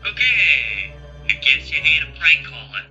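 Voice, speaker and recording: A man's voice: fairly high pitch, big pitch swings, measured pace, sharp tone, exaggerated voice. A man voicing a cocky, goofy teenage prankster boy in a parody cartoon dub, mouthy and sarcastic. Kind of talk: fan abridged parody dub. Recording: muffled lo-fi mic with music.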